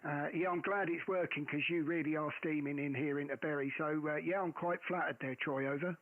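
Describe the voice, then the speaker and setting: A man who runs a ham radio stream, chatting casually with a loud voice and lots of fillers and restarts. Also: on the high side, steady tone, fairly quick, dry recording. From England. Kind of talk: casual radio contact chat. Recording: tinny radio audio, dry.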